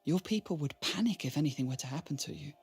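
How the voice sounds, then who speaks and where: An actor playing an earnest, deferential merchant in a radio drama, husky, with formal courtly speech and an anguished streak. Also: male voice, a little high, animated, quick pace, full character voice. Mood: grave, gentle.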